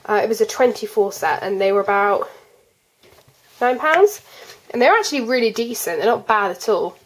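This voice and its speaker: Teenage female vlogger, fourteen, mid-range pitch, stuffed up with a cold, casual hobby-host chat. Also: lively intonation, normal speed, a little hiss or hum, rough recording. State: congested with a cold. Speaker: colouring channel host. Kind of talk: casual chat to camera, showing artwork. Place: indoors at a desk.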